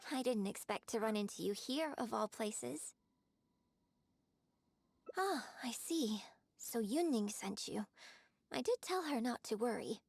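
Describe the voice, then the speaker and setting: Poised, high-voiced young opera performer. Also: female voice, vocal fry, no room sound, exaggerated voice. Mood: polite, composed. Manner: formal, measured, reflective. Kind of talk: video game voice acting. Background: game music.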